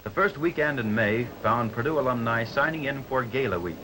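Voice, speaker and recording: An American man narrating a 1950s newsreel from a script, in a formal, measured announcer's delivery. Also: medium pitch, animated, crowd noise, low-quality recording. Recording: 1950s newsreel soundtrack with faint noise.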